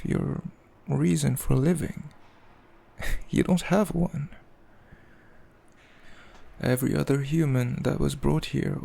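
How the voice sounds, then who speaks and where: A man playing a cruel, possessive demon king in an ASMR roleplay, speaking in a haughty, mocking, measured way with a gravelly voice. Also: fairly high pitch, mellow, full character voice. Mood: musing contempt. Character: cruel, possessive male demon king, coldly amused, condescending. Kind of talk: ASMR roleplay, slow intimate villain monologue.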